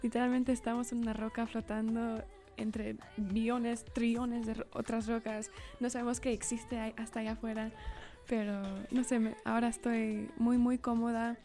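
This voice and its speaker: A young woman with a fairly high voice speaks Spanish with an American English, Spanglish tinge. She answers interview questions in an earnest, reflective, conversational way. Music plays behind her. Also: little pitch movement, normal speed, dark tone. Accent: Spanglish-tinged Spanish with an American English influence. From California, United States. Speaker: singer-songwriter being interviewed.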